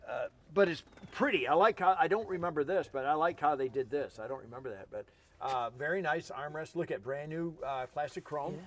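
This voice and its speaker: A man's voice: fairly high pitch, a little fast. A man co-hosting as a car expert, giving casual hands-on commentary in short, repeated admiring phrases. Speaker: car show co-host, car expert.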